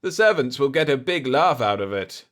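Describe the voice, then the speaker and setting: A man's voice: medium pitch, very animated, moderate pace, fairly bright tone, pitched above the performer's own voice. Man playing a dry, unflappable diplomat hero. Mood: wry, taunting. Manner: dry, sardonic. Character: cool, wry, unflappable male diplomat hero.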